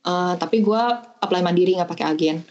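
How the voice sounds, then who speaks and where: A woman's voice: fairly low pitch, brisk, a little mellow. Chatty young woman on a dull lo-fi call. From Bandung, Indonesia. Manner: chatty, explains step by step with many hesitations. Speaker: podcast guest, au pair. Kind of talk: casual chat.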